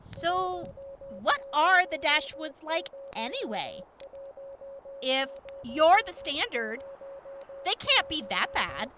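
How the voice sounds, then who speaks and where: An actress playing a tough, sassy but kind-hearted wasteland medic in a post-apocalyptic audio drama, salty and teasing. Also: female voice, sits high, big pitch swings, takes it slowly, music in the background, exaggerated voice. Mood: curious, skeptical.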